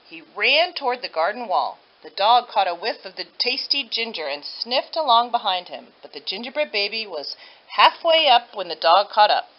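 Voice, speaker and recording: A woman reading a children's story aloud with expressive narration. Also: mid-range voice, normal speed. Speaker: storybook reader. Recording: dull lo-fi audio.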